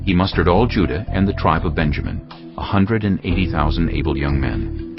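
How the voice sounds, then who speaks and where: Very deep male Bible narrator. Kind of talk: dramatised scripted Bible narration. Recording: muffled audio under prominent music.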